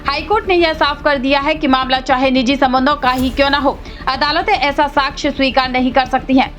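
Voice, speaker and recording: A woman reading scripted news as a voice-over in a brisk, formal manner. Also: sits high, fairly even intonation, fairly bright tone. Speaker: news reader.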